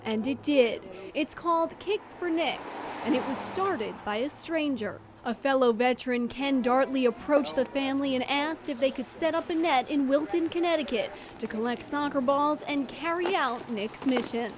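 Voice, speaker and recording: A woman's voice: sits high, normal speed, background noise. A woman reporter reading scripted narration for a news feature.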